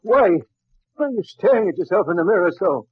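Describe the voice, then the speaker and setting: An actor playing an anxious, protective elderly professor father in a radio drama, excitable and fretful. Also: high voice, brisk, breathy edge, no room sound, heavily acted. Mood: concerned, shocked. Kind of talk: 1940s radio acting. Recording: muffled, dry old radio recording.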